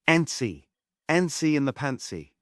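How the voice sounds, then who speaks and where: A man's voice: fairly high pitch, sing-song, a little slow, no room sound, clean studio sound. A man playing a sardonic, meddling, flamboyant showman in a comic stage play table read. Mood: exasperated, theatrical. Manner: theatrical, wry.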